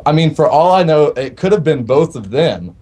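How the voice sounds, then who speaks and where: A man's voice: a little high, very animated, normal speed, warm tone, slight background noise. A man chatting casually as an interview guest, telling tall stories in a mock-serious way. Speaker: band roadie and tech, interview guest. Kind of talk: casual joking chat.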